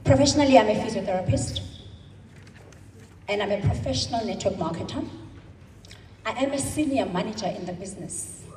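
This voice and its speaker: A South African woman in her thirties giving an emphatic motivational speech at a convention, stretching her words into slow dramatic pauses. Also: mid-range voice, lively intonation, noisy background. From South Africa, Eastern Cape. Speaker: convention speaker. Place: large hall. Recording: echoey hall, speaker far from mic.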